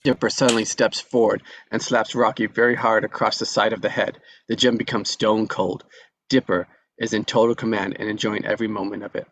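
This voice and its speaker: A man reading the stage directions at a live script table read, steady and measured. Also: on the low side, a little hiss or hum.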